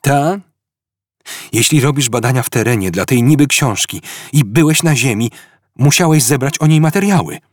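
A man's voice: medium pitch, lively intonation, moderate pace, no echo, clean studio sound, voice pushed up, lightly acted. A man reading an audiobook, voicing a flustered, indignant traveler stranded in space who keeps exclaiming in disbelief. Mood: eager. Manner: agitated, exclaiming.

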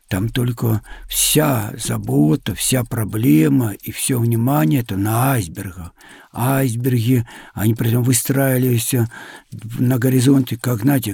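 A man, likely middle-aged, with a husky, gravelly voice tells stories conversationally to children in simple, loosely phrased explanations. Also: medium pitch, mellow, no echo. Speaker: sailor and traveller guest.

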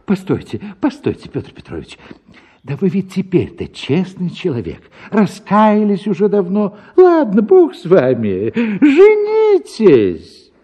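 A man's voice: sits high, wildly swooping pitch, warm tone, husky, full character voice. A male actor with a Russian accent playing an exasperated, stubborn older father-in-law, blustering and repeating himself in a theatrical radio comedy. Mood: coaxing. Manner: blustering, repetitive, drawn-out exclamations. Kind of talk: theatrical radio drama acting of a classic comic story.

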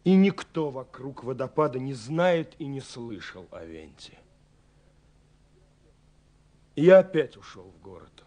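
An actor playing an earnest young romantic in a 1970s Soviet radio play, confiding haltingly with dramatic pauses. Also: male voice, mid-range voice, very animated, mellow, close to an everyday voice. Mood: searching, restless. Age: young adult. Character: earnest young romantic man searching for a mysterious girl. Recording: 1970s radio recording with faint music. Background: faint music.

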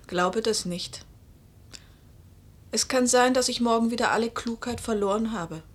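An actress in a German radio play as a guarded, dreamy, troubled woman, breathy and introspective, with measured literary delivery. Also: on the high side. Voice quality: breathy, often dropping to a whisper.

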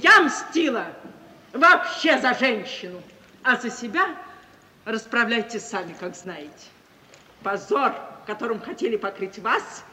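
An actress playing a proud, sharp-tongued society woman bent on revenge, declaiming theatrically in an old radio play. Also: high voice, exaggerated voice. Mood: triumphant, stern. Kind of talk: 1950s Soviet radio play acting. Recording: reverberant, hissy 1950s radio recording.

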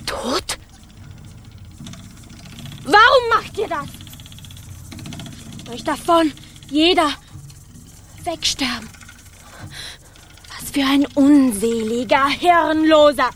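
An actress playing a horrified, anguished woman on a pirate ship in a radio play, speaking in broken, halting phrases. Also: sits high, lively intonation, fairly bright tone, a little hiss or hum, heavily acted.

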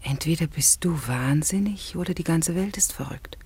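Husky, haughty Roman empress. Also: female voice, low voice, normal speed, a little mellow, exaggerated voice. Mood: bitter, shaken. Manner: haughty, accusing, intense. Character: proud, ambitious middle-aged Roman empress, reproachful wife. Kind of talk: German radio play acting.

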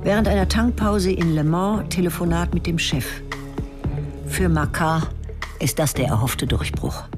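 A woman's voice: lowish voice, a little slow. A woman narrating a radio drama in a terse, clipped, matter-of-fact way. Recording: studio radio play with music.

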